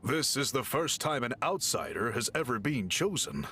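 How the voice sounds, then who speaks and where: Calm serious tribe chief in a game, formal and patient, voice moves up and down a lot. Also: male voice, medium pitch, faint music behind. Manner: formal, measured, explaining patiently. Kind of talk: game voice acting.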